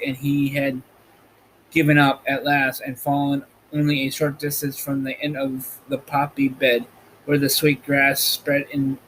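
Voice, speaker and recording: A man reading a children's storybook aloud as a podcast host, in an amateur, slightly halting way. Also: medium pitch, slight background noise, low-quality recording.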